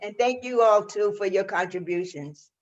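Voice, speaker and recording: A woman's voice: medium pitch, moderate pace. Woman giving informal announcements over muffled call. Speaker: theatre staff member. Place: group video call. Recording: muffled call audio, faint noise.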